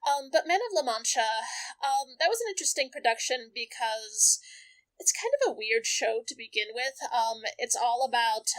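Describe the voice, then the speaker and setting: Woman, podcast co-host, casual chat, higher voice, thinks aloud. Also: fairly bright tone, low-quality recording. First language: not Japanese. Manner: chatty, many "um"s, thinks aloud.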